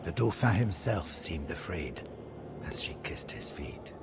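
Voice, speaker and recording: A man playing a weary, devoted medieval French soldier who narrates game cutscenes in a grave, theatrical, measured way, with a gravelly, breathy voice. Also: deep voice, lively intonation, in a whisper, exaggerated voice. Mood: somber, weary. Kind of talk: dramatic scripted storytelling for game cutscenes. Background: music.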